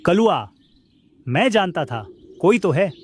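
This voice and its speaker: Honest, determined male songbird father for kids' animation, high and expressive, played by a man. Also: slow, husky, exaggerated voice. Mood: accusing. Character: plucky, honest male sparrow father and corn seller. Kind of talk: Hindi kids' cartoon dialogue.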